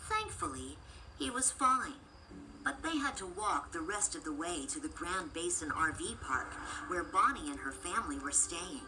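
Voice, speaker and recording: A woman reading a scripted children's read-along story in an expressive, measured storytelling manner. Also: on the low side, low-quality recording. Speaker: storybook narrator. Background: music.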